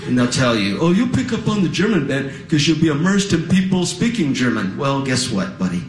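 A man's voice: on the high side, very animated, a little fast, roomy sound. A man doing a stand-up comedy routine, telling a wry story built around punchlines.

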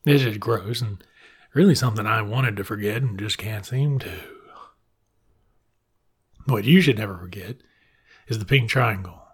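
A middle-aged American man from Mississippi with a husky voice, giving comic commentary in an irreverent, sardonic, swearing manner. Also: fairly low pitch, normal speed, pauses often. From Mississippi, United States.

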